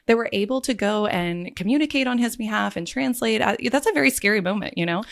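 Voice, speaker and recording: Casting: woman, mid pitch, upbeat and chatty, full of client anecdotes. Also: lively intonation, fast, vocal fry. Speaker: luxury travel agency owner, guest. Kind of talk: podcast interview.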